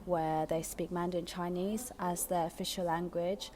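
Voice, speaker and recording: A young woman artist from Taiwan and England speaks in a reflective, earnest way. Her voice sits a little lower than most women's. She keeps thinking aloud, with ums and false starts as she hunts for the right words. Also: flat delivery, moderate pace, a little mellow, slight background noise. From Taiwan, raised in England. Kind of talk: personal talk about her art.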